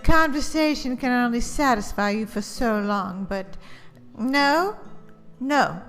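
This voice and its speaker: A woman's voice: on the high side, expressive, slow, a bit bright, heavily acted. A woman playing a flirtatious, worldly society hostess in an audio drama, coy and teasing. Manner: coy, insinuating. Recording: long reverb, faint music. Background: faint music.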